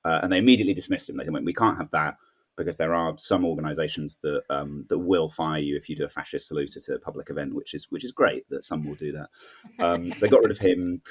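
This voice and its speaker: A man's voice: rumbling low, quick pace, husky. A man chatting casually as a comedy panel guest, telling a rambling story and acting out imagined dialogue. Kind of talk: casual comic news chat.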